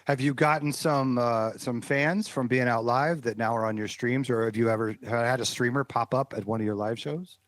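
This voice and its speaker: A man's voice: mid-range voice, steady tone, moderate pace, few pauses, no echo. A man guest hosting an interview, asking long questions in an encouraging way. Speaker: guest host. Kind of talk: casual interview chat.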